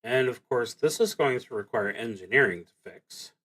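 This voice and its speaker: A man casually narrating his solo tabletop roleplaying game, haltingly thinking aloud and muttering to himself as he goes. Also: mid-range voice, lively intonation, fairly bright tone.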